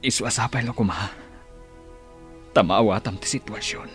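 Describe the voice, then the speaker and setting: An actor playing a gentle, regretful, loving father in a radio drama, tender and earnest. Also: fairly low pitch, normal speed, faint music behind, lightly acted. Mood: tender, remorseful.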